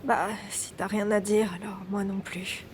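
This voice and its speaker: A woman playing a reserved, uneasy teenage schoolgirl in a French video game dub, soft-spoken and hesitant. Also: medium pitch, little pitch movement, a little slow, a little hiss or hum, close to an everyday voice. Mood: quiet, hurt. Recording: music behind the voice.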